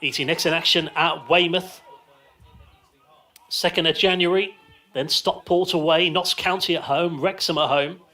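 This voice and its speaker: A man's voice: on the high side, steady tone, normal speed, sharp tone. A man giving live radio football commentary from a stand, describing play in short, clipped phrases. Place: outdoors in a football ground stand.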